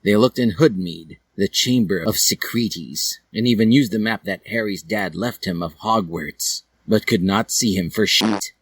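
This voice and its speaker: A man's voice: on the low side, normal speed, hardly any pauses, fairly bright tone, breathy edge, no echo, low-quality recording. A man reading a comic fan fiction story aloud as a theatrical narrator.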